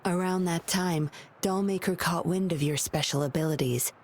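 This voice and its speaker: An actress plays a guarded woman patroller from a video game, speaking in a low voice. She is composed and wry, with a solitary streak. Game ambience runs noticeably behind her. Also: normal speed, darkish tone, close to an everyday voice. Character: guarded, teasing female patroller with a lonely streak. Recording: clear ambient noise behind voice.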